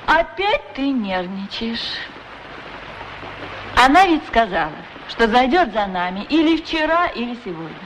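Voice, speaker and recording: An actress playing a pair of talking golden shoes in a radio play, soothing, gently chiding and expressive. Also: female voice, on the high side, measured pace. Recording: dull, noisy 1960s radio recording.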